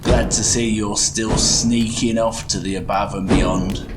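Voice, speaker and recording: A man's voice: lowish voice, steady tone, a little slow, very noisy, close to an everyday voice. A man voicing a chatty, folksy goblin tinkerer who guides the listener through a playful fantasy bedtime story. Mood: fond, wry. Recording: music behind the voice. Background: music.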